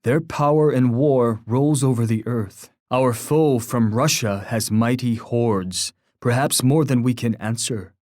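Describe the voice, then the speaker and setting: A man performs a solemn war chief for a strategy video game. He is dignified and oratorical, delivering formal, poetic lines with measured gravity in a dry, close recording. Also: mid-range voice, darkish tone, no room sound, lightly acted. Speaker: game character voice.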